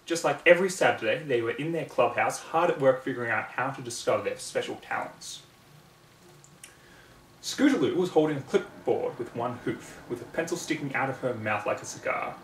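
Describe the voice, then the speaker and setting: A man's voice: medium pitch, lively intonation, moderate pace. Man narrating a story, roomy and distant. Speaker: fan fiction reader. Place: room at home. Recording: roomy, distant mic, home recording.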